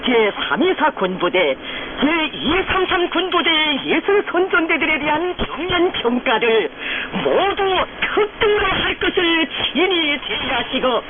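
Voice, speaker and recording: A woman's voice: high-pitched, very animated, unhurried. A woman with a North Korean accent reads solemn state radio news in a declamatory, fervent, reverent manner. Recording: noisy shortwave radio, narrow phone-like band.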